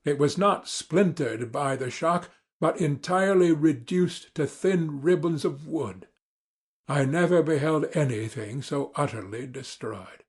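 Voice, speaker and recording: A man reading classic literature as a dramatic audiobook narrator, formal, measured and earnest. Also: a little high, darkish tone, husky.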